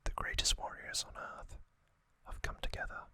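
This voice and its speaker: An ASMR host whispering a gentle, measured recap of a story, softly and without voice. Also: dry recording. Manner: soft unvoiced whisper throughout.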